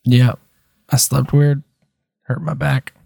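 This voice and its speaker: A woman playing a tabletop game in casual character, terse and low-key, with a gravelly, husky voice. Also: flat delivery, takes it slowly, warm tone, no echo, clean studio sound.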